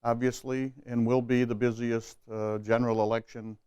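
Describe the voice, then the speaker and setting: A man's voice: mid-range voice, level tone, normal speed, darkish tone, clear, resonant tone, no echo. A man giving unscripted interview answers as an election official. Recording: dull, dry, lo-fi sound.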